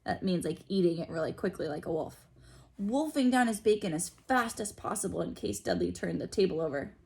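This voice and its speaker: Animated woman reading aloud, creaky edge. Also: on the low side, a little fast. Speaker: school principal reading aloud. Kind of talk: expressive children's story read-aloud with explanatory asides.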